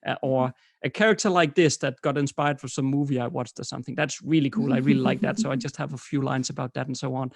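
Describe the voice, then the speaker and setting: A middle-aged man with a Danish accent, a guest author on a video call, hesitant and quick to laugh. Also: medium pitch, fairly even intonation, creaky, no echo, studio quality. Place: home room, indoors. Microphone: headset.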